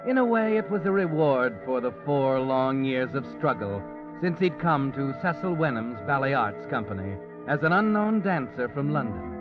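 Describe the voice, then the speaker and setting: A man's voice: mid-range voice, sing-song, normal speed, natural voice. A man as the Whistler, ominous radio narrator. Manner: addresses the hero directly, insinuating. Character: the Whistler, brooding omniscient male narrator. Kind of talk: 1940s radio drama narration. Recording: dull old radio broadcast with music.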